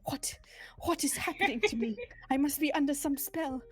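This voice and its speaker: A woman's voice: sits high, moderate pace, no room sound, pitched above the performer's own voice, lightly acted. A woman voicing a flustered, easily shocked young male wizard student in a comic fanfic reading. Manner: incredulous, flustered.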